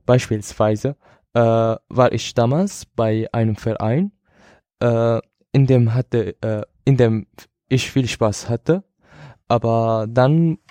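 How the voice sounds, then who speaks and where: Slow, halting man with Syrian accent. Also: medium pitch, warm tone, no room sound, clean studio sound. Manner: halting, searching for words in a second language. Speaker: podcast participant. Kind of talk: personal account in a podcast conversation.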